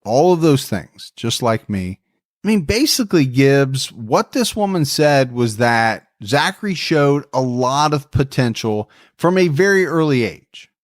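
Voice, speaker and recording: A man hosting a true crime podcast, telling the story in a relaxed, friendly way and chatting with his co-host. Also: mid-range voice, measured pace, dry recording, studio quality.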